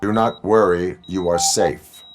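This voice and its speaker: A man playing a stoic cyborg policeman in a video game, speaking in a deadpan, formal way. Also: low voice, measured pace, noisy background. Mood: calm, flat.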